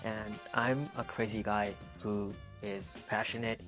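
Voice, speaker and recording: A young man in his twenties, from Pakistan, answering viewer questions in a soft-spoken, earnest vlog. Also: fairly low pitch, level tone, unhurried, music in the background. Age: 20s. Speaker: vlogger. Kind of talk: casual Q and A monologue.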